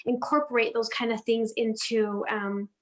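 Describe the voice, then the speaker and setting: A woman who grew up in Denmark speaks as a guest decorator in a relaxed interview. Her voice sits on the higher side, and she chats in a friendly way, stopping for ums and small restarts as she goes. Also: normal speed. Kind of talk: casual interview chat.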